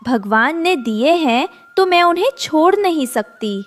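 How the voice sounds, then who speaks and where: A woman's voice: high-pitched, expressive, measured pace, pitched above the performer's own voice. A woman voicing a poor, devoted mother bird in a children's cartoon, earnest and plaintive.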